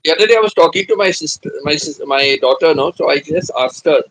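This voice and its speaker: A man in his seventies hosting a live stream, chatting gently and repeating himself, humming between topics. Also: on the high side, fast, dry recording, low-quality recording. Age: 70s. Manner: hums to himself between chats. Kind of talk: casual live-stream chat.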